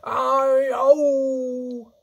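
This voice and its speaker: An enormous brutish giant from a storybook, gripped by terror. The voice leaps high and screeches, dragging out each begging cry at a bellow. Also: male voice, level tone, drawn-out words, creaky, highs cut off, heavily acted. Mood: panicked terror. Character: huge brutish male giant, terrified, pleading in a nightmare.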